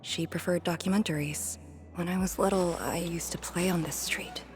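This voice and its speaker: An actress playing a haunted, wistful young woman in a video game, speaking softly and hesitantly in a breathy near-whisper. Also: on the low side, steady tone, close to an everyday voice. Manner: slow, hesitant, pensive. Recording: music behind the voice. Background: background music.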